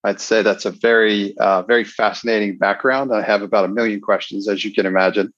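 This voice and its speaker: A man's voice: lowish voice, fairly even intonation, fairly quick, fairly bright tone, no room sound. A man hosting a podcast interview, friendly and upbeat as he welcomes and questions his guest. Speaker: podcast host. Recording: dull, dry lo-fi sound.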